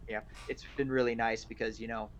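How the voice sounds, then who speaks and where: A man chatting casually as a podcast guest, friendly and quick to laugh. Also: mid-range voice, flat delivery, muffled recording, slight background noise. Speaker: guest voice actor.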